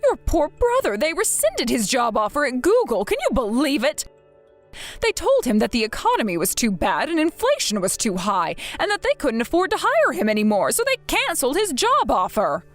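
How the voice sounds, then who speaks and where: A woman voicing a manipulative, whining mother who pleads and wheedles in a high voice for money in a dramatized story. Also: huge pitch swings, normal speed, faint music behind.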